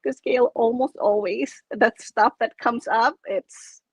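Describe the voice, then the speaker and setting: Filipino-accented woman guest, chatty and upbeat, slightly high voice, dry close video-call sound. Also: fairly even intonation, slow, darkish tone, slightly rough, no room sound. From Philippines. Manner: chatty, enthusiastic, full of "like". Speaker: interview guest, coach. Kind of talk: casual video-call interview.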